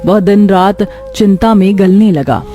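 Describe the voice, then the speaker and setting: Mellow female story narrator. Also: medium pitch, measured pace, faint music behind. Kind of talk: scripted cartoon story narration.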